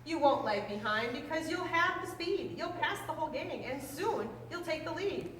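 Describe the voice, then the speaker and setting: A woman reading a children's rhyming book aloud in a lively, sing-song way. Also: high voice, moderate pace. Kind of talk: read-aloud storytelling. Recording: roomy, distant mic, faint noise.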